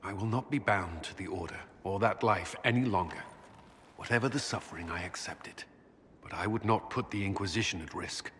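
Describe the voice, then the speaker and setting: An actor playing an earnest, dutiful military commander in a video game, speaking in a measured, formal, guarded way. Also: male voice, deep voice, a bit bright, in a large hall, slight background noise. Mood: solemn, resolute. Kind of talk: video game cutscene acting.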